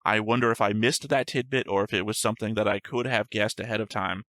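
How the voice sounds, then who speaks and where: Bright-voiced man, chatty and self-deprecating. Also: lowish voice, steady tone, fast, dry recording, studio quality. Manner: chatty, self-deprecating, long winding explanations. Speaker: reaction show co-host. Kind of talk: casual discussion of an anime episode.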